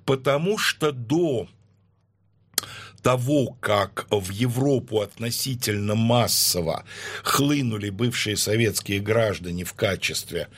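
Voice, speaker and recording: Man, guest historian, husky tone, measured explanatory delivery with hesitant pauses. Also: fairly low pitch. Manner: measured, lecturing with frequent hesitations. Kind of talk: explanatory interview talk.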